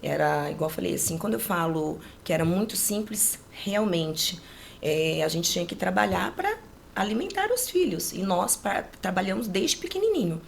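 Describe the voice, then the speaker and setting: Gravelly Brazilian woman in her late forties. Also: lowish voice, lively intonation, normal speed, slight background noise. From rural Brazil. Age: late 40s. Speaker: interview guest. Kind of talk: casual personal storytelling.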